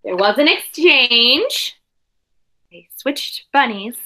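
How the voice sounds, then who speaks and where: Woman teacher reading a kids book out loud, super animated, takes it slow, explains stuff simply. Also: on the high side, slight background noise. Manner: expressive, dramatic, explains things simply to children. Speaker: teacher reading a picture book to young pupils. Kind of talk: animated children's read-aloud with asides.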